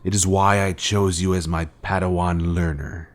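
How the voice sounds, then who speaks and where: An actor playing a menacing fallen Jedi master in a video game, speaking in a solemn, pompous, formal way as a cold former mentor. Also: male voice, deep voice, flat delivery, normal speed, close to an everyday voice. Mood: solemn, reproachful. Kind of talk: scripted video game villain dialogue.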